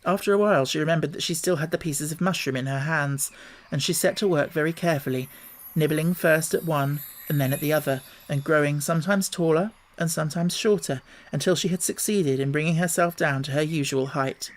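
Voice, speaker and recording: A man narrating a classic children's story in a measured reading voice. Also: a little high. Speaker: audiobook reader.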